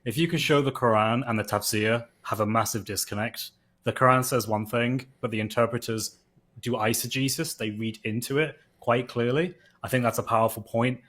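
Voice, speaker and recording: A native English-speaking man talks in a relaxed, meandering way, weighing ideas aloud. His voice sits at an ordinary middle pitch. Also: normal speed. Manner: thinks aloud, chatty with fillers. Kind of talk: casual discussion.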